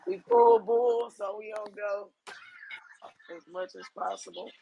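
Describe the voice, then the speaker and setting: A hoarse man calling into a casual live audio chat, tired and easygoing. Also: high-pitched, huge pitch swings, a little slow, highs cut off, a crowd in the background. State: hoarse from being sick. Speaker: caller.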